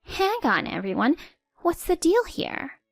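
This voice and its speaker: A woman voicing a snobbish, scheming middle-aged mother-in-law with a shrill, haughty manner. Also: very animated, measured pace, dry recording, faint music behind. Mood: flustered.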